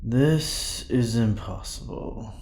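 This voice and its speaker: Gravelly, irritable technician. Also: male voice, mid-range voice, lively intonation, takes it slowly, a little mellow, slight background noise. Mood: exasperated, scornful. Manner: curt, exasperated, scornful. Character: irritable, distrustful male technician, demanding and scornful. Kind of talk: audio drama acting.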